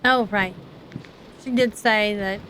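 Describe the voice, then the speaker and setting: A woman's voice: mid-range voice, steady tone, takes it slowly, sharp tone, slight background noise, poor audio. A woman livestreamer doing live game commentary and reading dialogue aloud, with crude, blunt joking. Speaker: livestreamer, VTuber.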